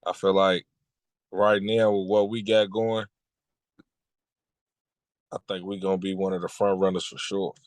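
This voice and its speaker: A man in his thirties from Kentucky, a music artist talking casually in an interview, relaxed and repeating his phrases. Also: deep voice, unhurried, long pauses, dry recording. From Lexington, Kentucky. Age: 30s. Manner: laid-back, repeats "you know what I'm saying".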